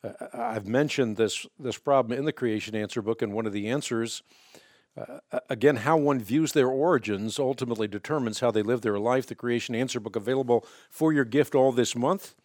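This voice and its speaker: This is a man hosting a call-in radio show, his first language Dutch. He explains answers fluently and emphatically, sometimes tripping over rapid repeated words. His voice sits in a middle register and is recorded close and dry in the studio. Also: mid-range voice, darkish tone, dry recording. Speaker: radio talk show host.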